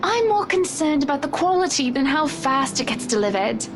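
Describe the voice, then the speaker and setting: A woman's voice: high-pitched, very animated, moderate pace. A woman voicing an affectionate, assertive wealthy lady in a visual novel, doting and teasing as she takes charge. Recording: music behind the voice.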